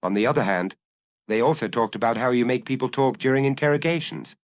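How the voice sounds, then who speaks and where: A man's voice: mid-range voice, fast, no echo, natural voice. A man voicing an anguished young killer who earnestly confesses and analyzes his compulsion in a dramatic audiobook reading. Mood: uneasy.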